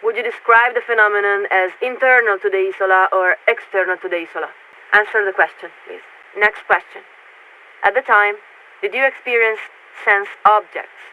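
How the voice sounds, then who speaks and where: An actress playing a formal, detached warship officer who speaks for a committee in clipped, measured phrases through a radio filter in a video game. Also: female voice, medium pitch, pushed and bright. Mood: grave, commanding. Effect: radio transmission filter. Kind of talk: scripted video game voice acting. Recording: tinny, narrow-band radio with static.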